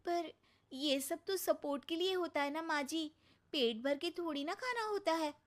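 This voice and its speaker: A woman's voice: extremely high pitch, normal speed. A woman voicing a cautious, dutiful young daughter-in-law in a cartoon story, respectfully objecting. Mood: polite, cautious. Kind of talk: cartoon moral-story voice acting.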